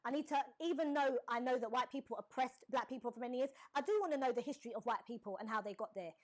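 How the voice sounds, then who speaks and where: A woman's voice: on the high side, fast talker. A woman hosting a group discussion, asking probing, challenging questions. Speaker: host.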